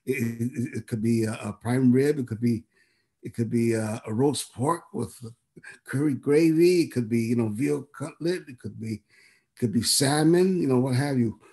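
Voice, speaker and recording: An older man from San Francisco with an American accent, reminiscing informally in a halting, rambling way over a video call. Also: mid-range voice, mellow, husky. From San Francisco, United States. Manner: halting, rambling, repeats words. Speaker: guest speaker, artist and storyteller.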